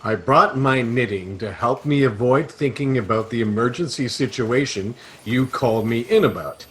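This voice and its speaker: A man plays a mild, befuddled space adventurer. His mid-pitched voice is plain and measured, earnest in the middle of a sci-fi comedy. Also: natural voice, rough recording. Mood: puzzled. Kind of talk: comic sci-fi audio drama acting.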